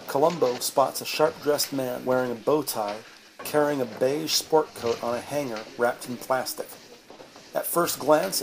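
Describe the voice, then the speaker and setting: A man's voice: mid-range voice, background noise. A man narrating a scripted audio drama in a descriptive storytelling style.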